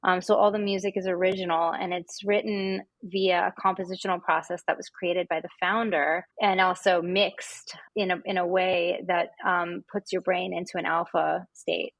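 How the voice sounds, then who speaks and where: A woman's voice: fairly low pitch, flat delivery, moderate pace, few pauses, no room sound. A woman speaking as a podcast guest, explaining things casually with gushing enthusiasm. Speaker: podcast guest, wellness app representative.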